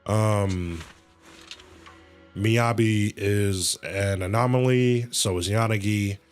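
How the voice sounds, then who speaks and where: Guy streaming a game, talks slow and lowish, rambly, repeats himself, bit of music behind. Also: fairly even intonation. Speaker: game livestreamer. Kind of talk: casual livestream commentary. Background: faint game music.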